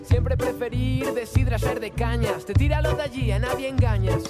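A woman rapping a song in a steady, rhythmic delivery. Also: a little high, brisk. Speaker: singer. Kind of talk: rapped song. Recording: song playback over loud music. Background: hip hop beat.

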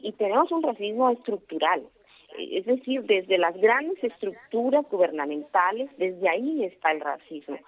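A woman from Oaxaca speaks with a Mexican accent, her voice sitting low. She talks earnestly in halting phrases full of fillers and restarts. Her voice comes through a narrow, tinny phone line. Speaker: guest, traditional cooking expert. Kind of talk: phone interview on radio.

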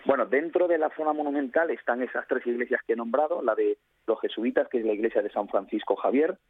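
Husky man on a tinny phone line. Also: medium pitch, fast, no echo. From Cáceres, Spain. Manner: detailed, explanatory, with frequent fillers. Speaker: tour guide. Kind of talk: interview guest over the phone. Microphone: telephone.

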